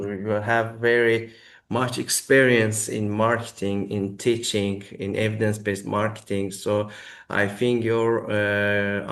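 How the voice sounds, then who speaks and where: A man hosting an interview, asking questions in careful, halting English with many pauses. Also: on the low side. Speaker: host, interviewer.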